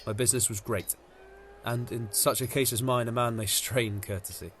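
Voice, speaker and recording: A man's voice: medium pitch, steady tone, normal speed, a bit bright, slight background noise, close to an everyday voice. A man playing a young, courteous, bantering lover in a Shakespeare audio drama. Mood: playful banter.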